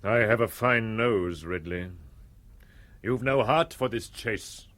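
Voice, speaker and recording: An actor playing a blinded, obsessed old starship captain, declaiming grandly and poetically in a 1960s British radio drama. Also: male voice, fairly low pitch, takes it slowly, a bit bright. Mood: weary, brooding.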